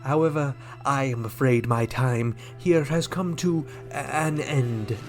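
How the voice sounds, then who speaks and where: Slow, suave alien ambassador acted by a man. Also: medium pitch, faint music behind, lightly acted. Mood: coolly threatening. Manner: measured, formal, theatrical. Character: suave, menacing male alien ambassador, coolly cryptic. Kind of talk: sci-fi radio drama acting.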